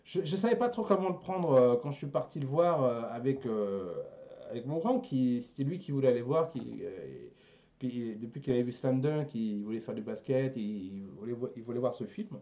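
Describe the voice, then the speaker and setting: A man hosting a casual anime talk show, chatting with co-hosts in a halting, repetitive, teasing way. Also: medium pitch, expressive, warm tone, dull audio. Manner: halting, repeats words, teasing banter. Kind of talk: casual chat among co-hosts.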